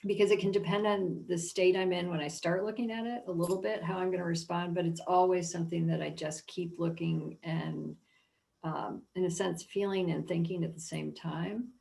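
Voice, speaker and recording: A woman curator speaking reflectively and deliberately in an informal panel discussion. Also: fairly low pitch, steady tone, gravelly, a little mellow. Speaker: art museum curator.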